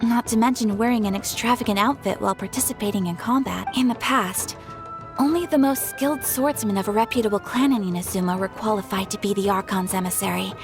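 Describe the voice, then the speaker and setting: A young woman playing a gracious, modest noblewoman in a video game, with polite, formal and measured delivery. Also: fairly high pitch, natural voice. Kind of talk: animated game voice acting. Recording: music playing behind the voice.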